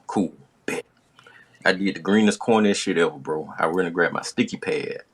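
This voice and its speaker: Low-voiced man telling a comic story. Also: moderate pace, breathy edge. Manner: animated slangy retelling, heavy swearing. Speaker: podcast host.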